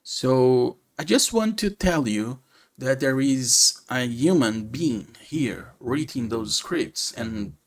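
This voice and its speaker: A man from Brazil, a native Portuguese speaker with a Brazilian accent, talking earnestly and haltingly to his viewers, set back from the mic. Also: medium pitch, lively intonation. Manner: earnest, halting, with fillers and restarts. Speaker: channel creator. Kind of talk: unscripted personal address to viewers.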